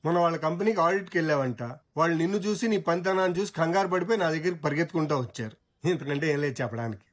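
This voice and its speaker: An actor playing a theatrical, showy office boss in a film drama. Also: male voice, on the high side, very fast, slight background noise, natural voice. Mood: jovial. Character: chuckling, theatrical office boss showing off his employee. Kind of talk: film dialogue.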